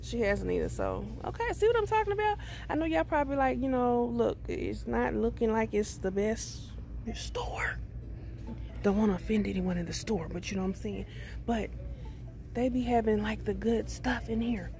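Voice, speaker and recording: A woman's voice: a little high, lively intonation, moderate pace, gravelly, a little mellow, soft background music. A middle-aged woman chatting casually to viewers as she films herself walking a store aisle on a phone. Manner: chatty, addresses viewers as y'all. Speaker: vlogger. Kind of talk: casual shop-with-me chat. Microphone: handheld phone.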